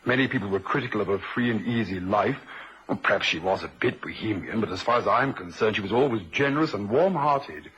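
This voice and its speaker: An actor playing an earnest, loyal young man in a vintage radio drama, speaking gently. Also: lowish voice, lively intonation, quick pace, husky, heavily acted, low-quality recording. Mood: sad, loyal.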